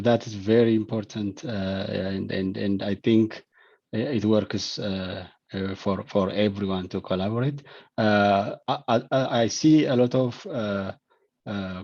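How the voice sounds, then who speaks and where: A man moderating an online question session, speaking haltingly and repeating words as he searches for phrasing. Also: fairly low pitch, a little mellow. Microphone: video call. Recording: dull, lo-fi video call audio.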